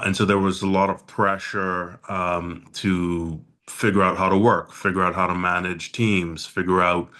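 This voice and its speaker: A man in his forties from the Bahamas, a podcast guest speaking in a measured, thoughtful way with frequent pauses. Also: low voice, pushed and bright. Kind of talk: reflective podcast conversation.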